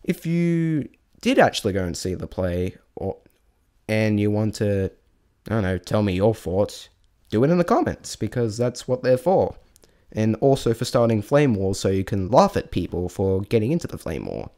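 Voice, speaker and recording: Rambling man with lively, swinging pitch. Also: moderate pace. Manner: rambling, self-deprecating, thinking aloud. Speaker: amateur vlog reviewer. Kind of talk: casual unscripted spoken review.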